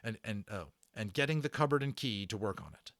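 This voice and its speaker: A man narrating a storybook as a dramatic, expressive read-aloud. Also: lowish voice, brisk, dry recording, studio quality.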